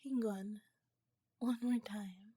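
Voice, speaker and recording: Breathy, smitten alien scientist played by a woman. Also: mid-range voice, fairly even intonation, no room sound, studio quality. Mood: tender, wistful. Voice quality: breathy, whispery. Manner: soft, halting, formal wording. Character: tender, smitten female alien scientist, formal and curious. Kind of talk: ASMR romance roleplay. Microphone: close, dry mic.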